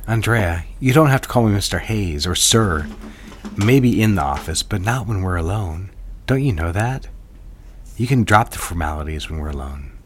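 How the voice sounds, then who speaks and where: An actor playing a calm, commanding married boss in an audio drama. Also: male voice, deep voice, normal speed, background noise. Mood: controlling. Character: controlling married boss, man, middle-aged. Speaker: lawyer boss.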